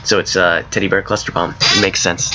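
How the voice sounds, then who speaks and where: A hoarse man casually talking through his own game mod as he plays, rambling and correcting himself as he goes. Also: deep voice, flat delivery, brisk, sharp tone, muffled recording, faint music behind. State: hoarse from a cold. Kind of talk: casual gameplay commentary.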